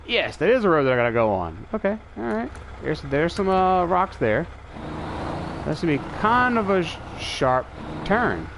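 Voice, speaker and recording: Man, slightly high voice, stuffy nose, laid-back game commentary, loud truck engine noise underneath. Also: normal speed, vocal fry. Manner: relaxed, thinking aloud while driving. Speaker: game streamer. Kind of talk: casual live gameplay commentary. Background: truck engine and vehicle sounds from the game.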